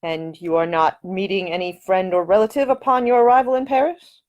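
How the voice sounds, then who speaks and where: Scowling young gentleman read by a woman: terse, grumpy, stiffly formal, for audiobook fiction. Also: mid-range voice, steady tone, fairly quick, muffled recording, lightly acted. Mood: stern, disbelieving. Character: miserable, scowling, curt young English nobleman.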